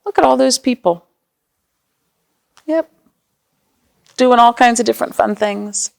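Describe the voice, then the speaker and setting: A woman with a creaky voice hosting storytime for young children, reading aloud and chatting to them in simple explanations and questions. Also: fairly high pitch, measured pace. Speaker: children's storytime host at a library.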